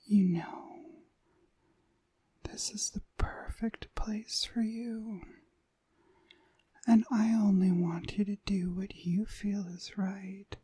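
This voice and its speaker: A woman playing a dominant, seductive witch mistress in a whispered ASMR hypnosis roleplay, breathy, soothing and commanding. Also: medium pitch, level tone, slow, pauses often, mellow, no room sound.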